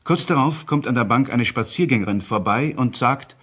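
An actor as a crime chronicle narrator, reading a scripted report in a formal, factual manner. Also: male voice, mid-range voice, lively intonation, moderate pace, a bit bright, slightly rough. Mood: factual, grave.

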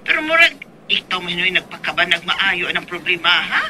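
A woman's voice: fairly low pitch, very animated, moderate pace, noisy background. A Filipino actress with a Cebuano accent playing an exasperated mother who nags and scolds her grown daughter in a radio drama. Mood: scolding. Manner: nagging, scolding.

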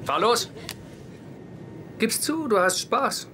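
A man's voice: very high-pitched, sing-song, slow, background noise, exaggerated voice. A cocky swaggering ladies' man. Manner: cocky, showy. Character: brash smooth-talking womanizer man, 30s. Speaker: dub actor. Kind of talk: German dubbed sitcom.

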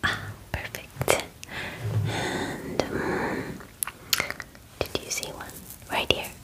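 A young adult woman doing a whispered ASMR personal-attention roleplay as a spa groomer, gentle and soothing, with a breathy voice and softly repeated words, close to the mic in a small room. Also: very slow. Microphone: close mic, speaking near it.

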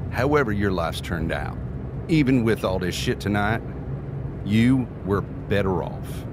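A jaded deadbeat dad in an audio drama. His voice is on the low side, dry and sarcastic, with halting phrases. Clear car and outdoor noise runs behind him. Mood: uneasy, resigned. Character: jaded, sardonic deadbeat birth father, self-described runaway. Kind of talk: naturalistic scripted audio drama acting. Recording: noisy outdoor ambience with car sounds.

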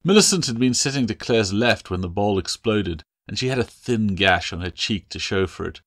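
A man with a fairly low voice narrates a fantasy novel. It is a scripted audiobook reading by the author himself. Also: animated, moderate pace, fairly bright tone, no room sound, studio quality.

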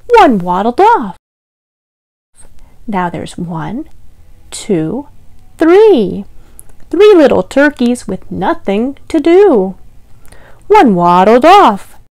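A young woman with a high, very animated voice hosts storytime for small children. She speaks very slowly in a sing-song manner, reading simply and pausing to ask questions. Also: no room sound. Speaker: children's librarian, storytime host. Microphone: lavalier clipped to T-shirt.

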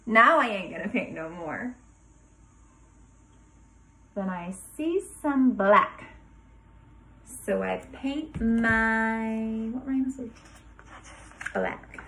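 Bright, playful woman reading to kindergarteners. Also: fairly high pitch, animated, slight background noise, rough recording. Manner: dramatic pauses before rhymes, asks listeners questions. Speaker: kindergarten teacher reading aloud. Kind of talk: animated picture-book read-aloud for young children, sing-song rhymes.

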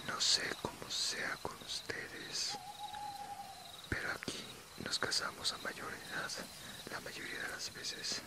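A young werewolf played by a man speaks entirely in a breathy whisper. He is friendly and unassuming, casually confiding in an ASMR fantasy roleplay. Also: slow, lightly acted. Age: young adult.

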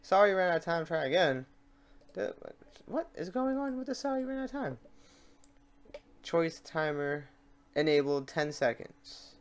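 A man's voice: a little high, big pitch swings, normal speed, long pauses. Casual man rambling through a tutorial. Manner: rambling, talks through mistakes as he works. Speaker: tutorial host. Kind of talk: casual screen-recorded software tutorial.

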